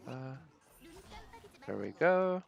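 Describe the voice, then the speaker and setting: A man's voice: high-pitched, animated, slow pace, vocal fry, dull audio, faint music behind. A man doing casual live gameplay commentary as a streamer, thinking aloud as he narrates his own decisions. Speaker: game streamer.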